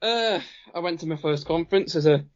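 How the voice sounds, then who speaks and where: A man's voice: on the high side, fairly quick. A young man from northern England chatting on a football podcast, with small stumbles and restarts, over a call. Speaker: podcast co-host. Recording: muffled call audio.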